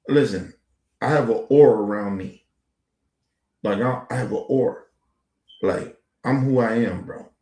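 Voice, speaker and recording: A man's voice: medium pitch, darkish tone, echoey room. A man in his forties talking in a casual, rambling monologue as a podcast host, heavy on street slang and profanity. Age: 40s.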